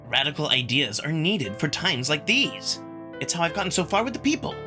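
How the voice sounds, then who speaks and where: A man's voice: on the high side, big pitch swings, brisk, music in the background, voice pushed up. A man voicing a scheming, smug wolf prince with a haughty, dismissive manner. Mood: self-assured.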